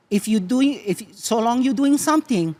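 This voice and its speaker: Hawaiian Pidgin accent, guest, emphatic, teacherly, repetitive phrasing, very lively pitch. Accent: Hawaiian Pidgin English. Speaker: guest, cultural practitioner. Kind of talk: casual conversation.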